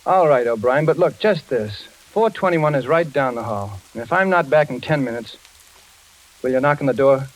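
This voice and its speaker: A man's voice: medium pitch, expressive, normal speed, close to an everyday voice. An American man playing a determined, suspicious but tender engineer in danger, in the style of 1940s radio suspense. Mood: tense. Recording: noisy old radio recording with crackle.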